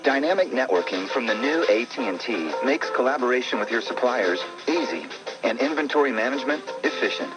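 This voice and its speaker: A man's voice: medium pitch, animated, fairly quick, loud music behind the voice, poor audio. A man reading scripted narration as a radio commercial announcer.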